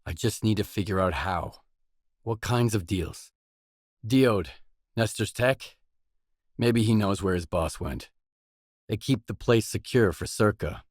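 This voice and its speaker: A guarded video game drifter with a low voice. He speaks in short, matter-of-fact lines, recorded close and dry with no room around him. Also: darkish tone, dry recording. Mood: measured, probing. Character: guarded, terse male drifter searching a dangerous zone.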